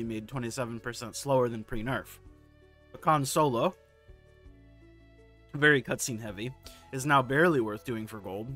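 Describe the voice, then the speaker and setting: A man with a hoarse, sore throat hosting a gaming video, casually reading forum posts aloud and giving his candid, laid-back take. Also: medium pitch, fairly bright tone.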